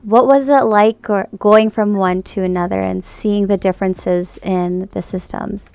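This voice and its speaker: A female host asks her questions in a friendly, easy way and laughs often. Her voice carries plenty of vocal fry but stays smooth and resonant. The audio is dull and lo-fi, like a video call. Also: mid-range voice, fairly even intonation, measured pace, a little mellow, dry recording. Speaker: podcast host. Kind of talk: casual interview.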